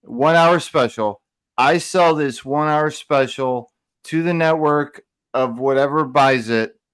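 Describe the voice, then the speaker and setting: A man's voice: fairly high pitch, unhurried, a bit bright, clean tone. A man co-hosting a podcast in casual conversation, emphatic and repeating phrases for stress. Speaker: podcast co-host.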